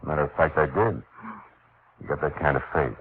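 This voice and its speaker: An actor playing a weary, wry, hard-boiled private detective in clipped 1940s radio noir style, both in dialogue and narration. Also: male voice, extremely low pitch, moderate pace. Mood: dry, flirtatious. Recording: noisy, muffled old radio broadcast.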